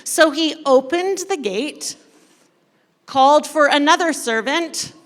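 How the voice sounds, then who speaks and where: High-voiced woman storyteller in a reverberant hall. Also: lively intonation, takes it slowly. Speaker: church storyteller leading a live dramatic reading. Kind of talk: animated storytelling to a live audience, with asides to participants. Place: large indoor church room. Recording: reverberant hall, live event sound.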